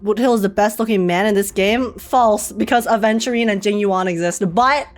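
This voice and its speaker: A woman's voice: medium pitch, moderate pace, faint music behind. A young woman giving casual, teasing, slangy live reactions as a game streamer. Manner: playful, teasing, giggly, slangy.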